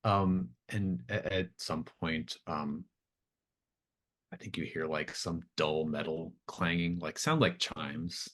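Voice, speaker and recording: A man with a low voice runs a tabletop horror roleplaying game. He improvises scene narration in halting, conversational pieces, thinking aloud as he goes. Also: fairly even intonation, a bit bright. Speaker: game master running a tabletop horror RPG.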